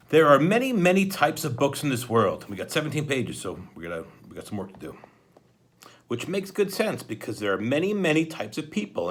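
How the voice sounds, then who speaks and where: A man speaking as a school principal, casual and conversational, doing an informal read-aloud with asides. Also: mid-range voice.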